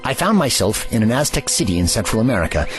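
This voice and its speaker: Man playing a grave old-soul time traveller, low voice, wordy and formal, audio drama with music under. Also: sing-song. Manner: formal, measured, pompous. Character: grave, erudite ancient male alien time traveller.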